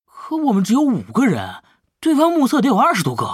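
An actress playing a cautious young gamer teammate in a Chinese radio drama. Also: female voice, fairly high pitch, wildly swooping pitch, fairly quick, breathy edge, no room sound, studio quality, exaggerated voice. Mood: worried.

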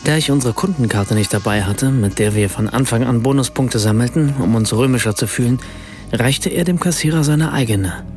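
A man's voice: medium pitch, brisk, darkish tone, soft background music, lightly acted. A man narrating as a wry German writer. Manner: ironic, reflective. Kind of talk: scripted radio drama narration.

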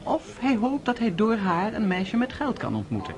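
An actor playing a shrewd, mocking grown son of an aristocrat in a radio drama, sardonic and knowing. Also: male voice, high voice, huge pitch swings, normal speed, full character voice. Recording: party crowd murmuring behind.

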